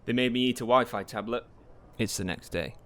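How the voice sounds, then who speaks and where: An actor playing a wry, self-deprecating married English teacher, confiding in a conversational way in an audio drama. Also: male voice, medium pitch, brisk, fairly bright tone, lightly acted. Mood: dry, awkward. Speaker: actor narrating an audio play. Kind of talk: audio drama monologue and dialogue.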